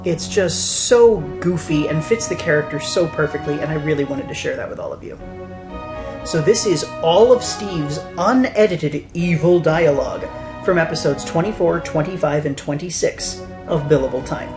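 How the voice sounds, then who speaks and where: Low-pitched woman narrating over music. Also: moderate pace. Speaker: writer and filmmaker. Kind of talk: scripted behind-the-scenes narration. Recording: voice over clear background music.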